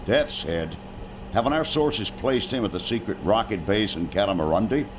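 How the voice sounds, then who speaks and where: An actor playing an attentive, deferential junior officer at a wartime briefing in a video game. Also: male voice, on the low side, animated, moderate pace, background noise, natural voice. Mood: surprised, interested.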